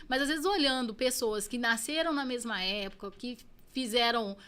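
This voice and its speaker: Bright earnest Brazilian woman. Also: on the high side, moderate pace, clear, resonant tone. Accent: Brazilian Portuguese. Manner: earnest, reflective, repeats phrases for emphasis. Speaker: podcast guest. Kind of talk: personal storytelling in an interview.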